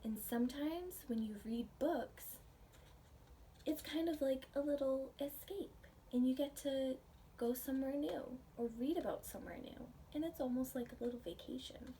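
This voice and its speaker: A woman reading a picture book aloud to children and chatting about it in a gentle, expressive, questioning way. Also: sits high, moderate pace. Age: adult. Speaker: children's storybook reader, teacher. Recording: distant mic with faint background noise.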